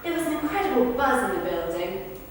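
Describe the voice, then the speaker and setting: A woman's voice: fairly high pitch, very animated, fairly quick. A woman rehearsing verbatim witness accounts for the stage, telling them in a conversational but projected way. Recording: echoey hall, far from mic, faint noise.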